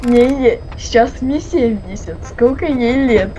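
A woman's voice: on the high side, a little slow, dark tone, faint music behind, poor audio. A woman chatting casually during a game, teasing and insistent, repeating herself. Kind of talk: casual chat while gaming.

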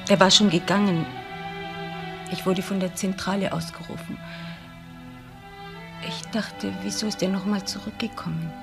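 An actress playing a devoted, admiring assistant to a professor in a crime radio play, earnest and subdued. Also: female voice, mid-range voice, fairly even intonation, moderate pace, pauses often, over loud music, close to an everyday voice. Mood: subdued recounting.

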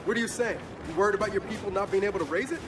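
An actor voicing an easygoing, wisecracking soldier who banters conversationally in a sci-fi video game. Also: male voice, high voice, racing, background noise, natural voice. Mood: questioning, practical.

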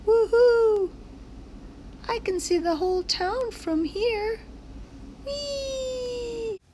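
Woman voicing an excitable, squeaky little sign kid. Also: very slow, a little hiss or hum, exaggerated voice, low-quality recording. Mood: gleeful. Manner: exclaiming, childlike, eager. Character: excitable little stick-figure sign kids, adventurous and plucky.

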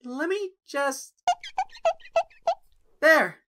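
Casting: man as awkward young cartoon hero, slow delivery with big swings, hesitant. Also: very high-pitched, expressive, very noisy, exaggerated voice. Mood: nervous. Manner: hesitant, deliberate, narrates his thoughts. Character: awkward, nervous young man, observant and polite. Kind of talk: animated fan audio drama acting. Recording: loud music over the voice.

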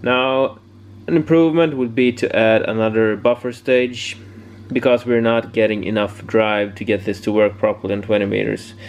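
A man giving a casual, unscripted hobby demonstration, haltingly searching for words, in a home workshop. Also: lowish voice, fairly even intonation. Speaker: amateur radio hobbyist presenting homebrew project. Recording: clear background noise, room ambience.

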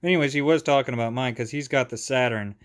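A man's voice: medium pitch, quick pace. A man with a clean, resonant voice talks through his gear like a hobbyist. His delivery is informal and unscripted, wandering along as he shows things off. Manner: informal, rambling. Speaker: hobbyist video maker. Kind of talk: casual product show-and-tell.

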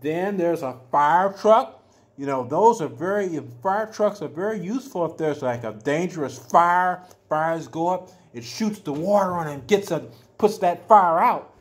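An older man reading a children's picture book aloud as a storyteller, adding simple explanations with drawn-out emphatic words. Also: a little high, animated. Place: small furnished room at home, indoors.

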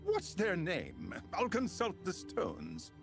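A man's voice: high-pitched, wildly swooping pitch, slow pace, creaky, faint music behind, exaggerated voice. An actor voicing a mystical little male yordle in a video game. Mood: pensive. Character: slow, mystical male gem-stone yordle. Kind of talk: video game character voice lines.

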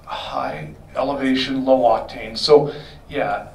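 A man's voice: mid-range voice, fairly even intonation, slow, a little mellow. Middle-aged man, technical Q&A talk, handheld mic, roomy hall sound, heard from afar. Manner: explanatory, conversational, self-correcting. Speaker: performance shop expert. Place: hotel meeting room with audience. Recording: roomy sound from a distant camera.

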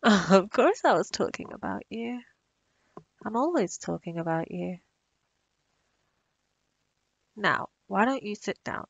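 Actress as obsessive female vampire for ASMR audio drama, doting yet menacing, unhurried, gravelly fry, theatrical. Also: medium pitch, lively intonation, no echo, highs cut off, full character voice. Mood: sweetly doting. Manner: theatrical, slow, taunting, giggly.

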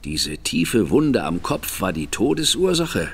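A man's voice: fairly low pitch, big pitch swings, takes it slowly, vocal fry, husky, full character voice. An actor playing a shrewd, composed male detective in a German audio drama, formal, courteous and inquisitive.

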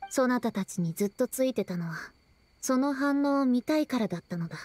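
A woman's voice: fairly high pitch, lively intonation, fairly quick, a little mellow, no echo. A woman voicing a solemn young youkai girl who speaks in a formal, archaic way, in an anime-style game. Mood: solemn, storytelling. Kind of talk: Japanese anime-style game dialogue and narration.

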